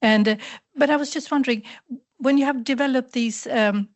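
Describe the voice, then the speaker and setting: A woman's voice: sits high, normal speed. A woman asking a rambling, hesitant question from the audience, with lots of fillers and restarts. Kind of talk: spontaneous question from the floor.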